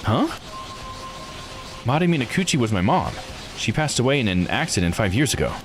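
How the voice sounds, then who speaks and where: A man voicing an earnest, excitable youth in a visual novel, eager and questioning. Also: mid-range voice, normal speed, natural voice. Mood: hesitant. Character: earnest, excitable youth searching for answers about mother. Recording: music behind the voice.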